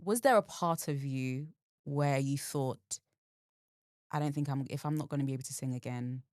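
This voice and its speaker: A woman co-host asking her guest gentle, sympathetic questions. Her voice is very low for a woman. The recording is dry and close. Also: normal speed, no room sound. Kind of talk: casual interview talk.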